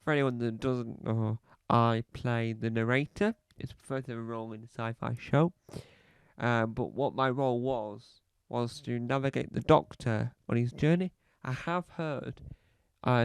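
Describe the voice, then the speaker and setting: A man playing a self-important male celebrity podcaster, rambling and halting with a slight cough, speaking close to a dry mic. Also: medium pitch, fairly even intonation, gravelly, smooth, no room sound, natural voice. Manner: rambling, halting, fond of repeating phrases. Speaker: comedy podcast host character. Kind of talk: scripted comedy monologue.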